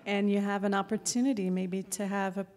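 A woman's voice: medium pitch, flat delivery, brisk, darkish tone. A middle-aged woman moderating a public event and leading it, speaking into a handheld microphone on an auditorium stage with long reverb.

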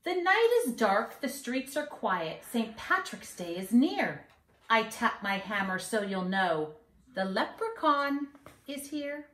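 A cheeky, boastful little leprechaun from a storybook, played by a woman, taunts in singsong rhyme. The voice is high and bright, with big theatrical swoops. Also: sing-song, slow pace, roomy sound, heavily acted. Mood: mischievous boasting. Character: naughty, boastful two-hundred-year-old little male leprechaun trickster. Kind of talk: storybook read-aloud. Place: living room at home.

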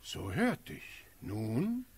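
An actor playing a stern, commanding ruler in a classical German radio drama, measured and imperious. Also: male voice, mid-range voice, huge pitch swings, breathy edge, heavily acted. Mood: curious. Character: commanding elector prince, curious, stern, aloof.

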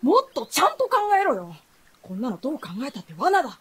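A woman's voice: high voice, huge pitch swings, fast, fairly bright tone, heavily acted. An actress playing an earnest, protective, sensitive teenage boy in a radio drama. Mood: worried, angry.

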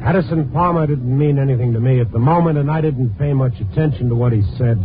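An actor playing a dogged, weary newspaper reporter with an American accent in a 1950s radio drama, clipped and hardboiled. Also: male voice, mid-range voice, quick pace, music in the background, lightly acted. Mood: weary narration. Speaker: lead actor and narrator. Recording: old 1950s radio broadcast, tinny band-limited.

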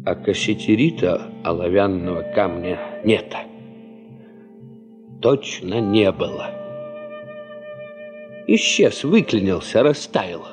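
An actor playing a weary, dour middle-aged geologist boss, terse and brooding, in a 1970s Soviet radio play. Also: male voice, low voice, lively intonation, slow, long pauses, over music. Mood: brooding, bitter.

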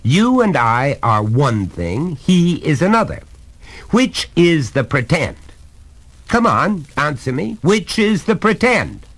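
A man's voice: fairly high pitch, sing-song, slow, a bit bright, pitched above the performer's own voice, full character voice. A man voicing a stern, pompous father who lectures and bullies with cold, emphatic precision in a storybook reading. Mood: insistent, menacing.

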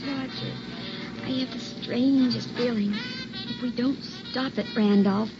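An actress playing an anxious, affectionate newlywed bride, worried and hesitant, in an early sixties radio drama. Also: female voice, a little high, steady tone, measured pace, close to an everyday voice. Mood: worried, pleading. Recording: dull old radio recording, music behind.

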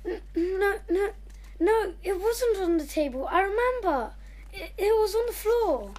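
A woman's voice: very high voice, expressive, measured pace, darkish tone. A woman playing a whiny, pleading young child in a radio drama. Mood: distraught. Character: young child attached to a beloved toy. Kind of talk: amateur radio drama acting.

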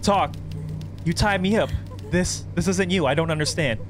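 A man's voice: normal speed, music in the background, natural voice. A man voicing a frightened captive woman from a horror game, pleading desperately. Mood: scared, bewildered. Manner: pleading, higher-pitched. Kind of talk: reading horror game dialogue aloud.

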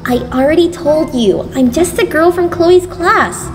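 Woman playing an earnest schoolgirl, very high-pitched. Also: measured pace. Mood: sheepish. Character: earnest, awkward, scheming, lovestruck schoolgirl. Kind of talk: cartoon comic dub acting. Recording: long reverb, music behind.

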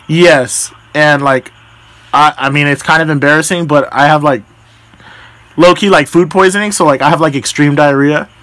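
A man in his twenties with a slightly high voice makes prank calls in a casual, slangy way. He hedges politely as he talks. The close indoor recording has a faint layer of noise. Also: moderate pace, a bit bright. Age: 20s. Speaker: prank caller. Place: small bedroom, indoors.

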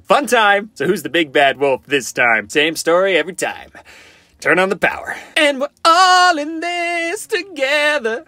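Man acting a lively, cocky, flirty game survivor. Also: high voice, dry recording, full character voice. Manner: playful, theatrical, quippy, often sing-song. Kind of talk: fan-made video game character voice lines.

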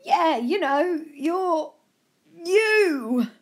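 A woman's voice: very high voice, drawn-out words, voice pushed up, exaggerated voice. A woman voicing an eager, chatty old man, a folksy star-struck fan in a children's story. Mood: excited recognition. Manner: folksy, excitable.

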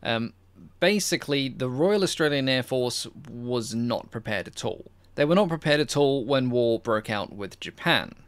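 A young man hosting a history channel Q&A, explaining military aviation in a measured, informative way with casual asides. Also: mid-range voice, expressive, smooth, dry recording.

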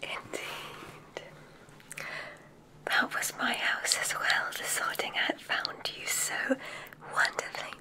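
A woman whispering softly in an ASMR roleplay as a kindly witch examiner at a magic school, speaking in a soothing, deliberate, formal way. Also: poor audio. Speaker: ASMR performer.

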